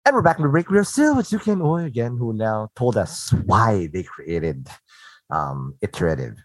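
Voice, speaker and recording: A man from the Philippines who speaks with an American-sounding accent he learned at a call center, hosting a casual interview with emphatic, animated delivery. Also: lowish voice, moderate pace. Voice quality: animated, emphatic, laughs often. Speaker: podcast host.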